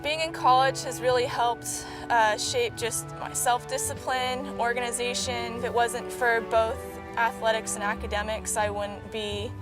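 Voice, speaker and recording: Higher-voiced woman athlete over music. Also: little pitch movement, measured pace, hardly any pauses. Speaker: student athlete graduate. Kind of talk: video testimonial. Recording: music bed underneath.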